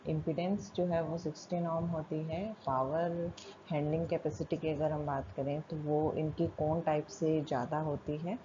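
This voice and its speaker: A woman with an Indian accent giving an explanatory technical lecture as a college teacher, in a methodical, halting way. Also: low-pitched, little pitch movement. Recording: muffled lo-fi audio with loud background noise.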